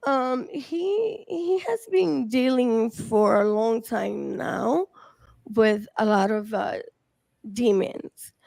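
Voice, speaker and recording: A woman from the Dominican Republic on a streamed panel, talking casually and haltingly with many fillers and restarts. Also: fairly high pitch, gravelly, darkish tone, dry recording. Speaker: research team member.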